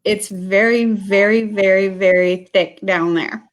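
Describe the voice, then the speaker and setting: A woman's voice: mid-range voice, steady tone, a little slow, muffled recording. A woman from South Georgia hosting a casual livestream interview, chatty and friendly, with frequent "um"s and "yeah"s.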